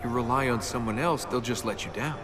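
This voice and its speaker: A man's voice: medium pitch, brisk, close to an everyday voice. A man playing a cocky young adventurer and thief in a video game, sarcastic and teasing in conversational banter. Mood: guarded. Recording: loud music behind the voice.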